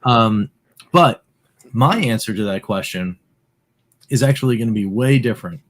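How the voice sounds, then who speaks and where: A man co-hosting a podcast, explaining at length in a relaxed, casual way with lots of filler words. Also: fairly low pitch, moderate pace. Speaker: podcast co-host. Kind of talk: casual chat.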